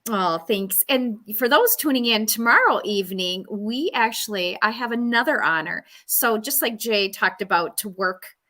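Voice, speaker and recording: Bright-voiced woman hosting in her fifties. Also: medium pitch, lively intonation, normal speed, few pauses. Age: 50s. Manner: warm, effusive and gracious. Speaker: show host. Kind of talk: live interview show hosting.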